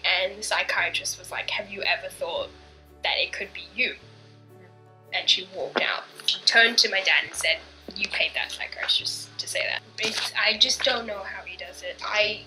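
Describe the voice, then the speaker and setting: A young woman with a bright, clear voice talks openly and reflectively about her life for a documentary interview. She often sinks to a soft, near-whispered tone. Faint music plays beneath her. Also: mid-range voice, poor audio. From born in Canada, raised in Bangladesh.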